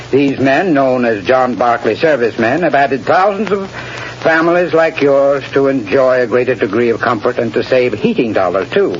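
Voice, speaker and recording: A man giving a scripted sponsor's message on old-time radio, formal and measured like a salesman. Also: medium pitch. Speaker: sponsor spokesman, heating expert. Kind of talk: scripted 1930s radio advertisement. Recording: dull vintage radio broadcast, faint hiss.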